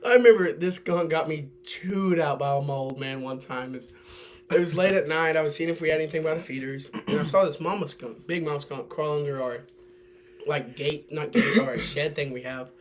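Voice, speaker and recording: A man's voice: on the high side, normal speed, a little hiss or hum. A young man telling casual stories among friends. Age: young adult. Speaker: podcast host. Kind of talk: casual anecdotal storytelling with friends.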